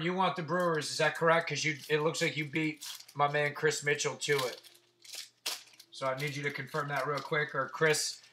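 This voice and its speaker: Raspy-throated man hosting a card break. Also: fairly high pitch, pushed and bright. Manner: chatty, swears casually, reels off player names. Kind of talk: casual card-opening stream. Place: tabletop card-breaking setup indoors.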